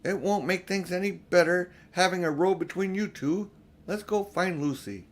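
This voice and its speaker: A man's voice: high-pitched, moderate pace, voice pushed up, close to an everyday voice. Man reading as an earnest, sensible older sister. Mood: earnest, unsure. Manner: earnest, reasoning. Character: sensible older sister, a girl, peacemaking and hesitant. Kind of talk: storybook character reading.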